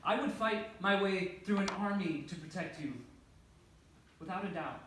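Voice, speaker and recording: Devoted, anxious soulmate played by a man, halting delivery, staged reading, heavy room echo and faint noise. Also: high-pitched, fairly bright tone. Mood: earnest, protective. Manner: halting, stammering, repeats words. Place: indoor stage, recorded from a distance. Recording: echoey, noisy room from far off.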